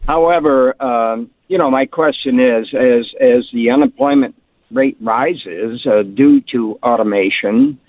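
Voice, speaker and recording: A man in his seventies calling in to a talk radio show, speaking hesitantly with many pauses. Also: mid-range voice, no echo. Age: 70s. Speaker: caller. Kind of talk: phone-in call. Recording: tinny phone line.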